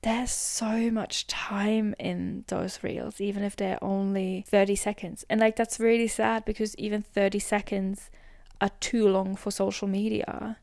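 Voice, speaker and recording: Young woman rambling thru her solo podcast, lots of "like", dry close sound, normal pitch. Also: flat delivery, unhurried, vocal fry, a little mellow, dry recording. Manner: rambling, heavy use of "like", drops to whispers at times. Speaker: podcast host. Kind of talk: reflective solo podcast monologue.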